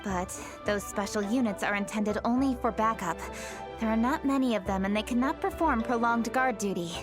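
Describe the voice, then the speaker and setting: A woman's voice: a little high, normal speed, music in the background, close to an everyday voice. A woman playing a poised young noblewoman leader in a video game, serious and dutiful, speaking formally and firmly. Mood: serious, explanatory. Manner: composed, formal, firm. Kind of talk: video game character acting.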